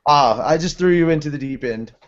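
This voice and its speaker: A man's voice: fairly high pitch, brisk. A man co-hosting a casual podcast in a playful, jokey way. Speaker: podcast co-host. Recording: muffled lo-fi podcast recording.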